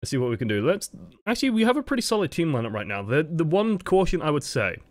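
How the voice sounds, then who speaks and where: A man's voice: a little high, big pitch swings, fast, dry recording. A man with a dry voice, giving casual, explanatory coaching commentary over gameplay footage. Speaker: game coach.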